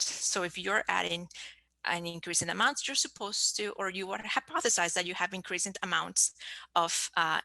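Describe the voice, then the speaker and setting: A woman scientist in her thirties from Peru, giving an online science talk in a halting way with many fillers and restarts. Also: medium pitch, creaky, sharp tone, no echo, rough recording. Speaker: scientist presenter.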